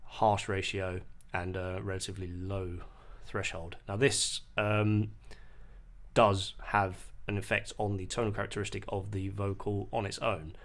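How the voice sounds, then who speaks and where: A man explaining music software in an unscripted tutorial, hesitant and pausing mid-sentence as he goes. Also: on the low side, little pitch movement. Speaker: music producer giving a tutorial. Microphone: voice-over on a screen recording.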